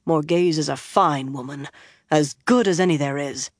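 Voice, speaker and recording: A woman voicing a gruff older male bard who speaks low, soft and weary. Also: moderate pace, dry recording, studio quality. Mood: stern.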